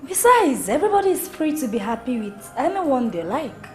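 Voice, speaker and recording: A princess sweet-talking her mom, lively voice, music under. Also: high voice, normal speed. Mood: coaxing. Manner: gently persuasive. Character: royal daughter coaxing her mother.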